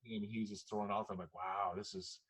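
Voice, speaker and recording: Chatty, low-voiced man. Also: steady tone, brisk, crowd noise. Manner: chatty, laughs often, rambles off topic. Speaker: podcast host. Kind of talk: casual chat.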